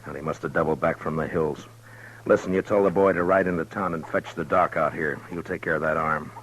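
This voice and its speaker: An actor playing a stern, weary marshal in a 1950s radio western, speaking in a terse, matter-of-fact way. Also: male voice, a bass voice, steady tone, fairly quick, fairly bright tone, slight background noise, rough recording. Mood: firm, terse. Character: stern, weary male frontier marshal narrating and giving orders. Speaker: radio drama lead and narrator.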